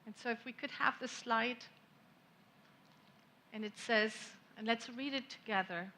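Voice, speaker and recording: Slow bright-voiced woman minister. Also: fairly high pitch, slight background noise. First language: German. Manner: gentle, reflective, unhurried with long pauses. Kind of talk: sermon. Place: church sanctuary.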